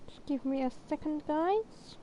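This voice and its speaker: A woman gives casual game commentary in a slightly high voice. She talks slowly, with playful, wry asides at her own expense, and there is clear noise behind her. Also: animated, mellow, poor audio. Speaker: game streamer.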